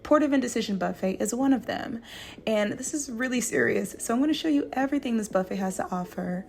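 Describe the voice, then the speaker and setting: A woman's voice: a little high, animated, quick pace, gravelly. A woman giving a casual, conversational voice-over for a vlog, with some slang. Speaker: vlog narrator. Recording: music under narration.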